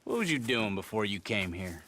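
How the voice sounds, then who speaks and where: A man's voice: lowish voice, big pitch swings, normal speed, a bit bright. An actor playing a skeptical but friendly ranch foreman in a Western game, folksy and probing. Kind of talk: naturalistic Western game acting.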